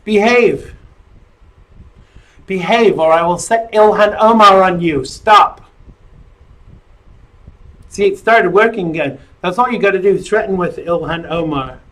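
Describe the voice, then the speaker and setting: A man's voice: sits high, measured pace, pauses often, a bit bright, low-quality recording. A middle-aged man hosting a live-stream talk show, chatting in a rambling, jokey way with lots of fillers.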